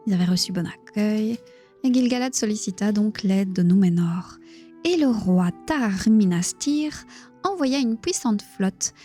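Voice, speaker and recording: A woman with a Belgian accent reading a book aloud on a live stream in a hushed, near-whispering voice, stopping to chat with her viewers. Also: mid-range voice, breathy edge. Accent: Belgian French. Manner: reads narration in a hushed, near-whispered voice, chats plainly with viewers.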